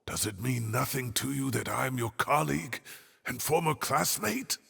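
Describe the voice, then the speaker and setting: Aging boastful game scholar: rough, bright voice, blustering, reverberant hall. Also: male voice, medium pitch, expressive, moderate pace, full character voice. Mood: defensive. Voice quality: gravelly, raspy. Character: aging self-styled heroic male scholar, boastful and apologetic. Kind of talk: animated video game dialogue.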